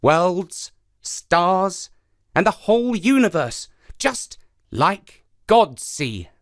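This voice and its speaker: A small, boastful trickster spider from a children's folktale, as a male storyteller puts him on. He speaks high and with lively swings of pitch, confident and persuasive, always working an angle. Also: very slow, no room sound, studio quality, heavily acted. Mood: sly, eager.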